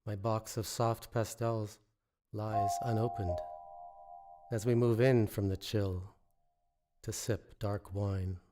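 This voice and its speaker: A man reciting his own poetry in a measured, deliberate way, pausing between phrases. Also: lowish voice, steady tone, very noisy. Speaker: poet reading own work. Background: musical score.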